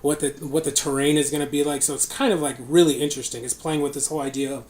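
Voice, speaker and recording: A man's voice: fairly high pitch, flat delivery, fast talker, a little mellow. A young man hosting a casual podcast, rambling with fillers and laughing often. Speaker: podcast host. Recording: echoey room, faint noise.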